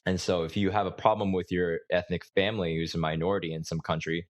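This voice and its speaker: A man hosting a podcast, talking in a relaxed, reflective way and thinking aloud with frequent restarts. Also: low voice, quick pace, dry recording, studio quality. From United States. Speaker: podcast host. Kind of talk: casual conversational interview.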